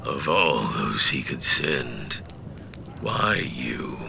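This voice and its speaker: Grim commanding warlord, extremely low and growling, slow, short-tempered, fantasy radio play. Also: male voice, sing-song, a crowd in the background, full character voice. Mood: weary contempt. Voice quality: gravelly. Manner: stern, impatient, commanding. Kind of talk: fantasy audio drama acting.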